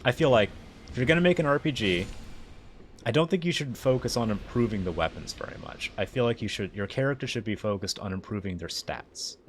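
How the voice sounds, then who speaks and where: A young man with a mixed Southern and Midwestern American accent, chatting excitably and rambling in a self-mocking way while streaming a game. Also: on the low side, brisk, a little hiss or hum. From United States. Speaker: livestreamer. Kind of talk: casual live gameplay commentary.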